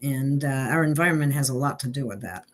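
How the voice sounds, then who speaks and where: A woman's voice: extremely low pitch, fast. A woman hosting a spiritual talk show, speaking earnestly and drawing out her guest.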